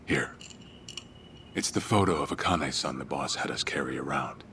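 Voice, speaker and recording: An actor playing a grim middle-aged gangster, recounting the past in a measured, solemn way in a game cutscene. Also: male voice, very deep voice, slight background noise. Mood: somber. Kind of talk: dramatic video game cutscene acting. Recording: game audio with loud background music. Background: loud cinematic music.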